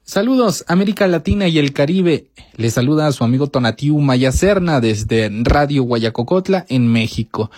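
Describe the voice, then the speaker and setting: A man's voice: fairly high pitch, expressive, moderate pace, few pauses, a little mellow, no echo, studio quality. A man reporting for community radio, greeting listeners and asking short questions.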